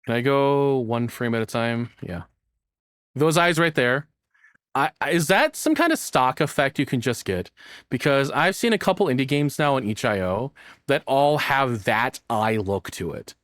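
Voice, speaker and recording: A man's voice: mid-range voice, lively intonation, normal speed, fairly bright tone, no echo, clean studio sound. A man giving casual, enthusiastic reaction commentary as a streamer, swearing freely in an informal way.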